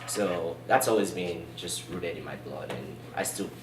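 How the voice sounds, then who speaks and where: A middle-aged man with a mixed Nigerian and British accent, telling his story as an interview guest in a relaxed, easygoing way, with many fillers. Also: sits low, a little slow, noisy background. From born in Boston, raised in Nigeria. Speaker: gym owner, guest. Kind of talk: casual interview. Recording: roomy distant sound in a gym.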